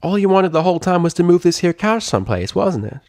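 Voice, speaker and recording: A man's voice: high-pitched, very animated, fast talker, no echo, studio quality, pitched above the performer's own voice. A man voicing a friendly, motherly country pony mare with a folksy Southern US drawl in a story reading. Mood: sympathetic. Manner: folksy, warm-hearted, fussing over others. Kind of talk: character voice in a fan fiction reading.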